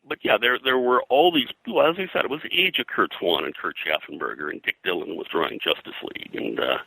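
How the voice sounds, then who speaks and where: An older American man reminiscing as an interview guest, in a halting, conversational way full of verbal filler. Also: low-pitched, expressive, gravelly, bright, forceful tone, husky, dry recording, low-quality recording. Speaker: interview guest, veteran comics colorist.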